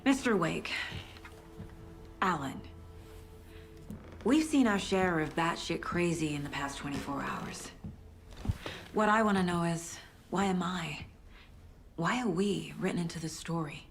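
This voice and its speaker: An actress playing a composed female investigator who questions a witness briskly, recapping the facts point by point. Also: lowish voice, lively intonation, faint music behind. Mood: probing.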